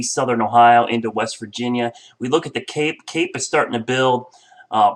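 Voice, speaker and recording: Middle-aged male chief meteorologist, nasal and congested from a cold, casual explanatory delivery. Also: medium pitch. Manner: explanatory, relaxed, with frequent fillers. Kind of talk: weather briefing.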